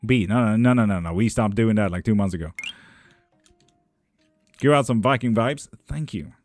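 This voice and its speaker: Low-voiced man chatting casually on stream. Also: moderate pace, darkish tone, faint music behind. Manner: loose and joking, swears freely. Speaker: tarot reader and witchcraft streamer. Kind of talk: casual livestream chat, reading out viewer messages.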